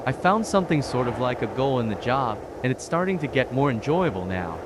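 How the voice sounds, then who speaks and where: An earnest, naive teenage boy, played by a man in a manga dub. His mid-pitched delivery is blunt and a bit hesitant. The track has clear street noise behind it. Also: very animated, brisk, natural voice. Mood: earnest, puzzled. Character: earnest sixteen-year-old boy devil hunter, blunt and naive. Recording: noisy track with street ambience.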